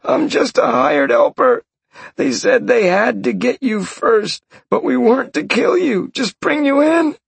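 Man doing a cowering hired mage: high, babbling, desperate pleas in a dry husky voice. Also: expressive, measured pace, dry recording, heavily acted. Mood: panicked. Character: panicked beaten male tracking mage, pleading.

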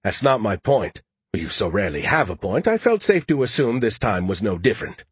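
A man's voice: fairly low pitch, sing-song, brisk, slightly rough, dry recording, full character voice. A man acts out a smug male artificial intelligence in a sci-fi audiobook. He is pompous and theatrical, mocking whoever he talks to with heavy sarcasm. The sound is dry and close. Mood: exasperated, sneering.

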